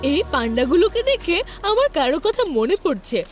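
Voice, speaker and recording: Excitable, very high-pitched cartoon child. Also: female voice, a little fast. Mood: curious. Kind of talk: cartoon dub acting. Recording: very loud music under the voice.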